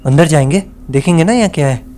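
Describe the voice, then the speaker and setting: A man's voice: on the high side, lively intonation, fast talker, a crowd in the background, close to an everyday voice. A man playing an impulsive, curious young university student in an audio drama. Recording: loud ambient background noise.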